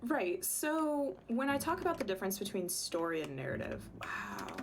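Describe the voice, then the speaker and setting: A slightly hoarse woman streaming a game, chatting casually and thinking aloud with many ums. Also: medium pitch, wildly swooping pitch, moderate pace, noisy background. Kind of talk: casual livestream commentary.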